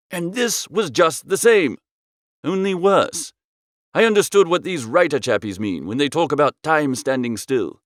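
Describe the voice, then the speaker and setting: A man narrating a comic first-person literary story as an audiobook, in a droll, expressive storytelling manner. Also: fairly high pitch, dry recording, studio quality.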